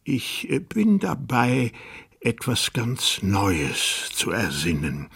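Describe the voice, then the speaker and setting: A small, frightened jester dwarf answering in a slow, hesitant, dazed way, his voice lifted higher than the reader's own. A man puts him on in a storybook reading, keeping a husky, breathy edge. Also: big pitch swings, a little mellow, heavily acted.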